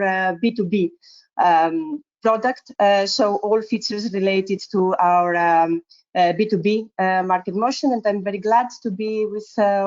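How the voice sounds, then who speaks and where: A woman's voice: medium pitch, darkish tone. A woman introducing herself as a product manager, hesitant with many uhs. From Greece. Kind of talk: self-introduction on a webinar. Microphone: video call. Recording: muffled video call audio.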